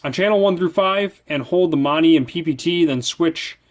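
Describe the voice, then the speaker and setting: A man's voice: fairly high pitch, normal speed. A man reviewing a product, reading its manual aloud with casual asides, often stumbling over and repeating words.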